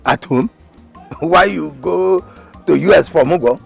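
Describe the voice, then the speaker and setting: A man playing the chief's friend, who teases with laughter and then calms him. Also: on the high side, unhurried, gravelly, faint music behind, exaggerated voice. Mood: teasing.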